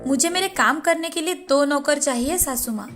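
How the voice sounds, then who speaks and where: A woman's voice: high voice, normal speed, fairly bright tone, soft background music. A woman voicing a spoiled, entitled young rich daughter-in-law in a cartoon moral story. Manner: entitled, demanding. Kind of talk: animated moral-story dialogue.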